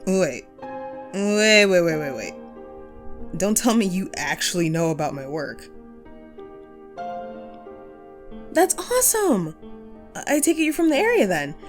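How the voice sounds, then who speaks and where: A woman performs an upbeat female ghost, once a radio host and ghost hunter, in an intimate one-sided audio roleplay. Her medium-pitched voice moves around in a very animated way, playful and talkative with musing pauses. Soft music sits behind her. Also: lightly acted. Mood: excited.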